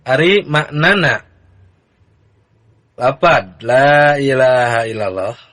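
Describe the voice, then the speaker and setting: A man teaching a religious text to beginners in a relaxed, easygoing manner. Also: medium pitch, slow pace. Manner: relaxed, chanting the text lines in a sung recitation. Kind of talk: kitab reading lesson.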